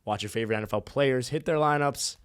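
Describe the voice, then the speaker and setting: A man with a hoarse, worn voice co-hosting a casual sports podcast, excitedly pitching bold predictions and bets. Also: fast. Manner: excitable, pushes hot takes and ad reads. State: hoarse, losing his voice. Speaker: podcast co-host.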